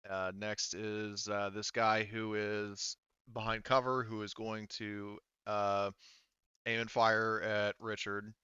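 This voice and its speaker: A man's voice: on the low side, flat delivery, takes it slowly, sharp tone, clean tone, no room sound, lo-fi sound. A man casually refereeing a tabletop game as game master, thinking aloud in an informal way.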